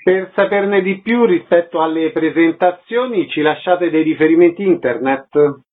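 A man's voice: fairly high pitch, moderate pace, phone-quality sound. A man hosting a radio interview, presenting guests and putting questions to them.

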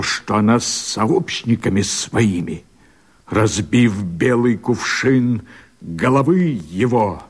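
Fiery rebel leader in a radio play, husky voice, pitch swinging all over, declaiming verse. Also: male voice, full character voice. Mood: ominous, dramatic. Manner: impassioned, theatrical oratory. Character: fiery rebel leader proclaiming himself emperor.

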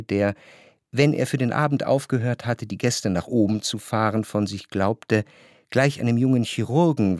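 An actor playing a reflective, refined gentleman narrator in a radio drama, measured, precise and gently ironic. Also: on the low side.

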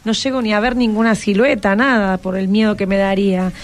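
A woman's voice: mid-range voice, steady tone, brisk. A woman with a Rioplatense Argentine accent hosting a radio show, chatting casually and playfully with encouraging tag questions. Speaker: radio host. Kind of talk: casual interview with schoolchildren.

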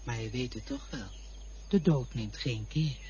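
A speaker reciting poetry in an expressive, lilting way. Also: mid-range voice, a little slow, highs cut off, a little hiss or hum. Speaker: poetry reader.

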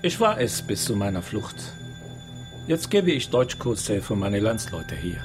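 A courteous middle-aged teacher from Syria in a radio crime drama. He speaks very slowly and carefully, halting as he goes, in a lowish voice with music clearly behind it. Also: big pitch swings. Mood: wistful, polite. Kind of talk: naturalistic German radio crime drama acting.

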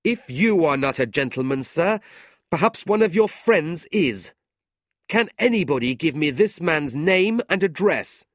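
An earnest, indignant young gentleman , voiced by a male narrator, pitched well above the reader's usual talk. He challenges with emphatic, honourable anger in a storybook reading, recorded dry. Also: big pitch swings, unhurried, hardly any pauses, breathy edge, no room sound. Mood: righteous anger. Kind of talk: audiobook character voice.

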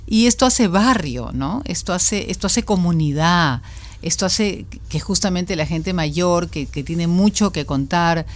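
A woman's voice: on the low side, measured pace. A woman with a non-local accent, a volunteer music teacher, speaking with enthusiasm in a radio studio interview. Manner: enthusiastic, lively storytelling.

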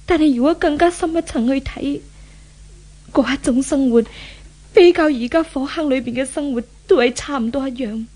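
High-voiced repentant young woman played by an actress. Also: normal speed, darkish tone, close to an everyday voice. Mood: reflective. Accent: Hong Kong Cantonese. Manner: earnest, wondering, theatrical phrasing. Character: repentant, timid young woman longing for a new life. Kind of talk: 1970s Cantonese radio drama acting.

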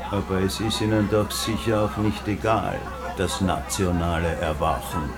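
An actor playing a suspicious Nazi border official, probing and condescending with quiet menace, in a radio drama. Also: male voice, low voice, steady tone, moderate pace, natural voice.

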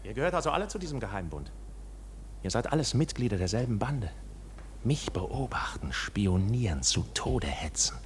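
An actor playing a defiant, agitated accused bank officer in a German radio drama, speaking in an impassioned, declamatory way. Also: male voice, on the low side, sing-song, normal speed, a little hiss or hum. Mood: furious accusation.

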